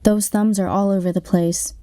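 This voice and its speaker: A woman's voice: mid-range voice, fairly even intonation, moderate pace, warm tone, no echo, clean studio sound, lightly acted. A young woman playing a matter-of-fact narrator who describes the action in a comic radio play. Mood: deadpan. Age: young adult. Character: deadpan narrator describing players' moves in sports parody.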